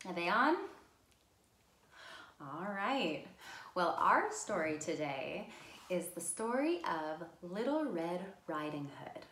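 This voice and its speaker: A woman's voice: on the low side, very animated, takes it slowly, pauses often, a bit bright, roomy sound. A woman hosting a children's storytime, speaking simply and encouragingly as if to young children. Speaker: children's library storytime host.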